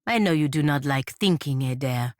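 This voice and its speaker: A woman's voice: rumbling low, animated, moderate pace, darkish tone, no room sound, studio quality. A woman playing a proud, impatient godlike warrior in a video game, with a sardonic, cutting manner. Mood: dry, curt. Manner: disdainful, sardonic, clipped and cutting. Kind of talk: video game character dialogue.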